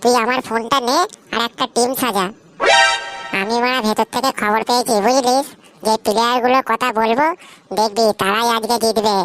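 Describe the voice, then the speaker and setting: A woman's voice: fairly high pitch, steady tone, a little fast, music in the background, lightly acted, low-quality recording. A woman voicing a cocky, greedy young male schemer in a comic cartoon, brash, bossy and slangy. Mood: bossy, scheming.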